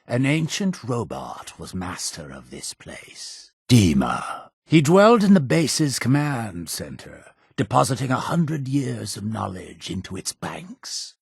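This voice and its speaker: An actor playing a zealous, imperious male cult leader in a video game, speaking in solemn, deliberate, preacher-like oratory with a gravelly, husky voice. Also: medium pitch, dry recording, clean studio sound, heavily acted. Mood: grave, bitter. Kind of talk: video game character dialogue.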